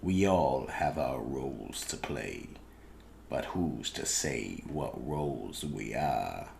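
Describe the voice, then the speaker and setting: An actor playing an ominous, wry horror anthology host, delivering scripted narration. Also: male voice, a bass voice, lively intonation, slow, vocal fry, a little mellow, a little hiss or hum, exaggerated voice. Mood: brooding.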